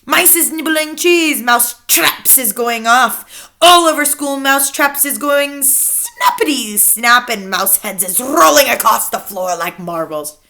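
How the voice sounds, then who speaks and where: A gloating old witch leader, acted out by a woman reading a storybook, chants her evil rhymes with theatrical glee. The voice is pitched high and forceful, and she stretches her words slowly for effect. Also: lively intonation, full character voice. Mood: gleeful, excited. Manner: exaggerated, drawn-out, chanting rhymes.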